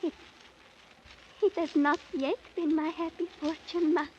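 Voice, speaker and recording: Very high-voiced, reverent young girl. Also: fairly even intonation, unhurried. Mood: timid, reverent. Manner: formal, reverent, submissive. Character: humble, worshipful young unmarried village girl of Kafiristan. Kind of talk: 1950s radio drama acting. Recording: dull, faintly noisy 1950s radio recording.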